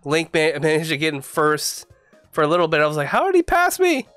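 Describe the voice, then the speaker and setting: Congested young man shouting at a race game. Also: a little high, wildly swooping pitch, fairly quick, smooth, soft background music. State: congested, recovering from flu. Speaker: gaming YouTuber. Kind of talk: casual game commentary. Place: home gaming room. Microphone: desk mic, face camera.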